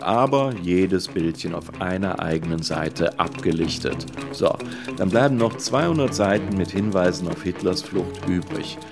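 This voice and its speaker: A man giving casual, conversational documentary narration in a dry, matter-of-fact way. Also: deep voice. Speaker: radio documentary host and researcher. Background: music.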